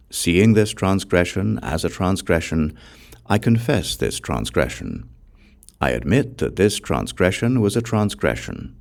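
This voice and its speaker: A man's voice: low-pitched, creaky, full character voice. A man voicing a humble, devout young outcast woman in a scripture audiobook, with earnest, solemn phrasing.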